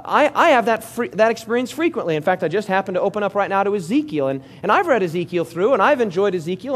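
A male pastor preaching to a congregation in a church hall. His voice sits high and swings widely in pitch. He keeps turning to the room with questions and jokes. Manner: lively, interactive preaching with audience questions and jokes. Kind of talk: sermon.

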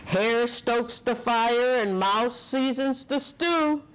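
Middle aged lady doing storytime, really slow n dramatic, kinda high voice. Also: slight background noise, rough recording. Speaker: children's story reader. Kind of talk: expressive picture-book read-aloud. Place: indoor office room. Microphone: lapel.

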